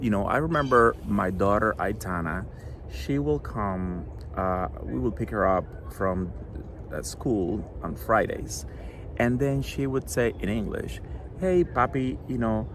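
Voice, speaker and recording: A middle-aged man from Cuba, a Spanish native speaker with a Cuban accent, talks earnestly to a school audience about his children's book, pausing often with fillers. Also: on the low side, a little hiss or hum. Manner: earnest, halting, many fillers. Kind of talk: recorded address to a school audience.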